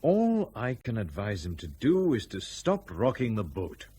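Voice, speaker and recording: An actor playing a tactful, wry family friend who probes gently and a little hesitantly in a radio drama. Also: male voice, on the low side, wildly swooping pitch, a little slow, slight background noise. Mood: tactful, probing.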